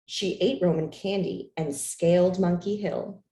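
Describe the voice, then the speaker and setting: A woman reading a children's picture book aloud in an expressive, animated storytelling manner for young listeners. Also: on the low side, measured pace. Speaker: storytime reader. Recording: roomy, echoey room sound.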